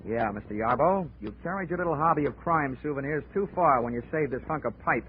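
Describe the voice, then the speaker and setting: An actor playing a wry, hardboiled investigator with deadpan wisecracks in a 1940s radio drama. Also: male voice, a little high, brisk, narrow, tinny audio, a little hiss or hum, natural voice. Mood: taunting, firm. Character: wry, hardboiled male insurance investigator narrating his case. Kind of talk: 1940s radio acting, detective narration. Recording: band-limited old radio recording.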